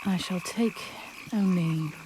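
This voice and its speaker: Low-voiced, aloof sorceress. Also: female voice, slow pace, noisy background. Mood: cool, businesslike. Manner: formal, aloof.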